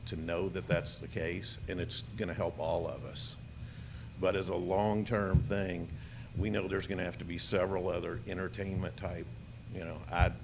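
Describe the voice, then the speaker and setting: A deep, gravelly-voiced man speaks at a council podium mic with steady background noise. He is earnest and polite, with frequent ums. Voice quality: gravelly, low voice with hesitant fillers. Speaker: local business owner speaking at public comment. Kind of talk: public comment at a city meeting. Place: city commission chamber. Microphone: podium microphone.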